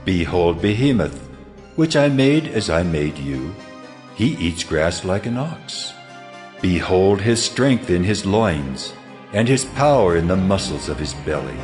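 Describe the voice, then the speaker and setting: A man's voice: low voice, darkish tone, full character voice. A man playing a stern, majestic God in a dramatized scripture reading, solemn and declamatory as he challenges a mortal. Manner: solemn, declamatory, rhetorical questioning.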